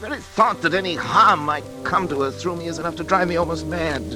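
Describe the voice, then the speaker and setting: An actor playing a tormented middle-aged scientist, brooding and dramatic with hesitant pauses, in 1940s radio style. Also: male voice, on the high side, natural voice. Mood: brooding dread. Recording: old radio recording, dramatic music behind.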